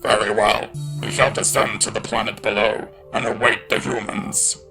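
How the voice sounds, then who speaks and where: A man voicing a solemn, imperious alien in a fan audio drama, speaking slowly and formally in a booming, gravelly voice. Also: little pitch movement, bright, forceful tone, faint music behind. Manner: slow, terse, formal. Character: tall solemn blue-faced alien, slow and imperious.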